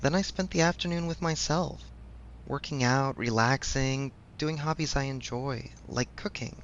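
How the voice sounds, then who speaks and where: A man's voice: mid-range voice, moderate pace, a bit bright, muffled recording, slight background noise, natural voice. A man playing a supportive best friend in a casual audio roleplay, speaking frankly with affectionate teasing. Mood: warm, affectionate. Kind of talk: ASMR audio roleplay, conversational.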